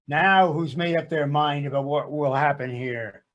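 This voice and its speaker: An actor playing a reluctant, argumentative husband in a comic stage sketch, sarcastic and exasperated. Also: male voice, a little high, measured pace, a bit bright, muffled recording. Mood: contrary. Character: reluctant, grumpy, argumentative husband at couples counseling. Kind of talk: comic readers theater sketch.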